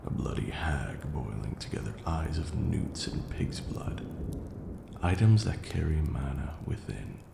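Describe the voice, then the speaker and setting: Man playing haughty vampire lord, very creaky low voice, deliberate pompous lecturing, ASMR roleplay, roomy echo. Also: expressive, exaggerated voice. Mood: patient lecturing. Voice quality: low, gravelly. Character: haughty, patient male vampire lord teaching magic. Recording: roomy echo, audible background hiss.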